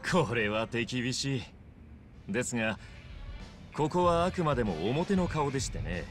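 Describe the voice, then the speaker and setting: An actor playing a smug, suave young male gangster boss in a video game cutscene, with smooth, polite, theatrical speech. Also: medium pitch, animated, normal speed, over music, lightly acted. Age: young adult.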